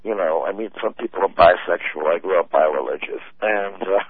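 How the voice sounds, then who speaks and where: A man's voice: low voice, fairly quick, breathy edge. A man who grew up speaking German, chatting casually as a guest, rambling with many fillers and laughs. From United States. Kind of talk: casual chat. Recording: tinny phone-line sound.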